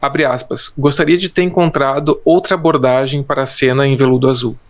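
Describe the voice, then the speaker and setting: A man with a mid-range voice shares movie trivia on a relaxed podcast. He reads from his notes and often stops and restarts words. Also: medium pitch, fairly quick. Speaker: podcast co-host. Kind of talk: casual film discussion.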